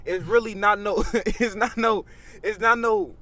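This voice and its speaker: A man's voice: sits high, unhurried, pushed and bright. An eighteen-year-old man, talking animatedly in a casual podcast chat, tagging lines with "bro" and "you know what I mean".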